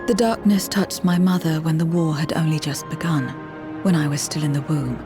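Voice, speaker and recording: A woman playing an ageless, half-voidsent woman in a video game, grave, formal and measured, proud and detached. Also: sits low, music in the background, natural voice. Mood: solemn. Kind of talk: dramatic video game cutscene acting.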